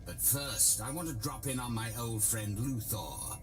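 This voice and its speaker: Man playing Metallo, a slow-talking vengeful cyborg villain. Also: medium pitch, close to an everyday voice. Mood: smug, bitter. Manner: sardonic, menacing. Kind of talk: video game villain acting. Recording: loud music over the voice.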